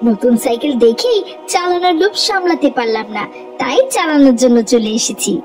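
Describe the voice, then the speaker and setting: A polite, obedient cartoon schoolboy voiced by a woman. The voice is high and moves in a lively way, with music behind it. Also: a little fast. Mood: friendly, proud. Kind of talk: cartoon voice acting.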